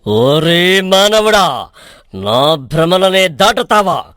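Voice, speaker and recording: A menacing demon tree sorcerer, acted by a man, ruling an enchanted island in a storybook tale. The voice is high and smooth, with slow, imperious phrasing. It is recorded very dry and close. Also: sing-song, a bit bright, no echo, exaggerated voice. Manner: slow, drawn-out, imperious threats. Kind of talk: dramatic audio storybook acting.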